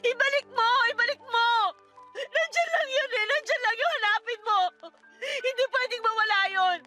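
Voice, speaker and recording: High, sharp pleading heartbroken young wife. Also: female voice, moderate pace, soft background music. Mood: desperate, angry. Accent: Filipino. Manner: pleading, intense, emotional. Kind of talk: Filipino radio drama acting.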